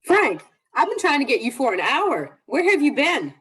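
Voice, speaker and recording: High, swooping, scolding wife played by a woman. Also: sing-song, moderate pace, a bit bright. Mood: exasperated. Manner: scolding, emphatic. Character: nagging, indignant wife at the end of her patience. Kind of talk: old-time radio comedy acting.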